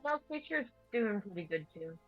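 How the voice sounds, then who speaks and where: A woman's voice: medium pitch, sing-song, normal speed, dry recording, soft background music. A woman discussing moves casually in a tabletop game, on slightly muffled voice-chat audio.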